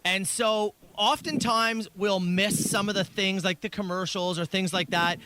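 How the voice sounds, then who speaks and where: A woman's voice: mid-range voice, a little slow, fairly bright tone. A woman bantering casually as a co-host in a radio interview. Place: radio studio.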